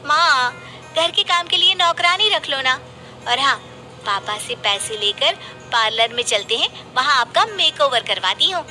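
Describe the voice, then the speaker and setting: A woman's voice: high voice, expressive, a little slow, bright, forceful tone, soft background music, pitched above the performer's own voice, exaggerated voice. A woman voicing a pushy, scheming, sweet-talking young daughter in a moral story cartoon, wheedling and insistent. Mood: pushy, coaxing. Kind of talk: animated moral story dialogue.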